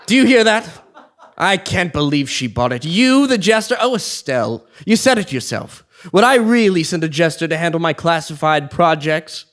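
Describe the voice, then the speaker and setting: A man's voice: a little high, lively intonation, normal speed, fairly bright tone. A man acting a pompous, sly king in a comic stage play, with a haughty theatrical delivery. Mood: sly, commanding.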